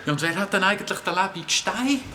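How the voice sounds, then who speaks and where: An excitable young adventurer from a children's audio drama. The Swiss German voice is high and incredulous, breaking in with short interjections. There is faint noise in the background. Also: male voice, quick pace. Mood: astonished.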